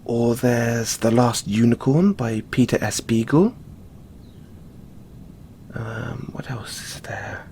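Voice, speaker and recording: Man playing a slow-spoken, shy owl boy librarian. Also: mid-range voice, gravelly, a little hiss or hum. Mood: polite, helpful. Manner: soft-spoken, hesitant, gently bashful. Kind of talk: audio roleplay addressed to the listener.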